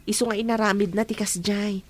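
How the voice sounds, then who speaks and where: A woman's voice: medium pitch, flat delivery, a little fast, a little hiss or hum, natural voice. An actress playing a young woman who is a probing, secretly jealous best friend in a radio drama. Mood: probing, reproachful.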